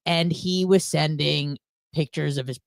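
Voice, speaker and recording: A woman's voice: sits low, a little slow, creaky, dry recording, clean studio sound. A woman co-hosting a radio show, chatting casually and telling candid, gossipy stories with rambling asides.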